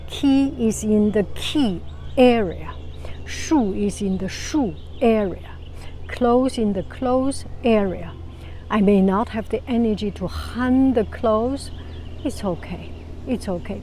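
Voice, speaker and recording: Woman, Chinese accent, low soft voice, very slow, calm advice talk. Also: a little hiss or hum. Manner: calm, unhurried, many restarts. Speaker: vlogger.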